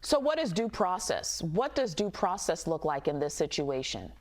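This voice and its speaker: A woman news host interviewing a guest in a measured, probing way. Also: lowish voice, very animated.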